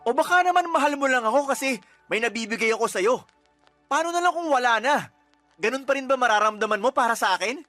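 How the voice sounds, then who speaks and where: A man's voice: extremely high pitch, animated, fast talker, bright, forceful tone, no room sound, soft background music, heavily acted. A man acting as a fed-up, resentful boyfriend in a Tagalog radio romance drama, emphatic and accusing. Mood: hurt, weary. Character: fed-up, resentful boyfriend breaking up with his girlfriend.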